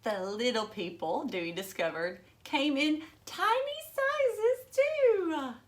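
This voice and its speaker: A woman teacher reading a children's storybook aloud, expressive and dramatic, as if to young listeners. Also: sits high, takes it slowly.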